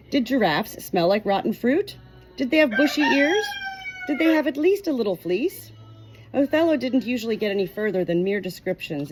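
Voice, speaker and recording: A woman reading a novel chapter aloud in an expressive storytelling manner, giving short quoted lines a light change of voice. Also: medium pitch, poor audio. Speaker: audiobook reader.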